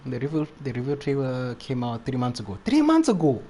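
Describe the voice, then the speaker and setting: A man's voice: medium pitch, huge pitch swings, fairly quick, dark tone. A man streams a video game and chats casually as he goes. His talk is slangy, circling back over the same phrases, with faint noise in the background. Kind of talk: casual live chat while playing.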